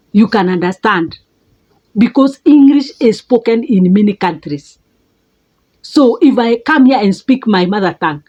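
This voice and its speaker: A middle-aged Kenyan woman with a Kenyan accent, giving an emphatic motivational talk as a life coach, repeating words and leaping up in pitch for stress. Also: darkish tone, muffled recording. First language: a Kenyan mother tongue.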